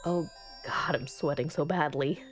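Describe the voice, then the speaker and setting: A woman doing let's play commentary on a game, reading its text aloud and reacting with animated exclamations. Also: deep voice, measured pace, soft background music. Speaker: video game streamer.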